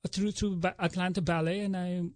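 A man's voice: high-pitched, flat delivery, fairly quick, darkish tone, no echo. A man in his forties from the Philippines, a ballet director speaking earnestly as an interview guest, with frequent restarts. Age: 40s. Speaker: ballet school artistic director.

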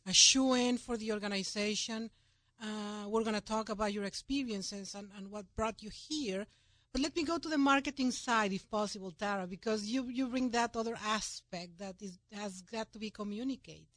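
A woman's voice: mid-range voice, normal speed, fairly bright tone, no room sound. A woman hosts the interview in a chatty, friendly manner. She keeps backing up her guests with short sounds of agreement. The recording is dry and close. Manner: chatty, frequent affirming fillers. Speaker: interviewer host.

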